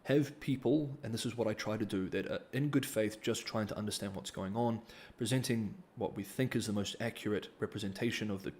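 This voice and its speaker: A man's voice: on the low side, a little fast, hardly any pauses, a little mellow. A man, hosting a casual livestream Q&A and reading donor comments, hesitant, with many ums and restarts. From New Zealand. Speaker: livestream host.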